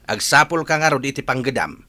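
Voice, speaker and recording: A man's voice: mid-range voice, animated, fairly quick, bright, forceful tone, dry recording. A man playing a grumbling old village farmer who scolds as a father, in an Ilocano comedy radio drama. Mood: scolding.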